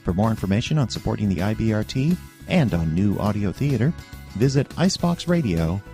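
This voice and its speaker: A man's voice: lowish voice, sing-song, fairly quick, dark tone. A man reading scripted credits as the announcer of an audio drama. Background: guitar music.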